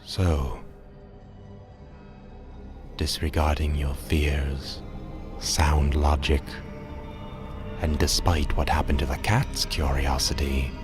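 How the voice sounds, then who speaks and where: Super deep guy telling a spooky halloween story, dramatic n ominous, creepy music loud behind him. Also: flat delivery. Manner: dramatic, ominous, measured phrasing. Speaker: narrator. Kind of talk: scripted second-person horror narration, Halloween radio drama. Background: loud scary music.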